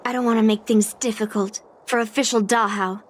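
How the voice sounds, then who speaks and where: A woman's voice: on the high side, steady tone, a little fast, lightly acted. A woman voicing a determined, earnest young woman in a video game, thinking through her situation aloud. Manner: earnest, pondering. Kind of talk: scripted video game dialogue acting. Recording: music behind the voice.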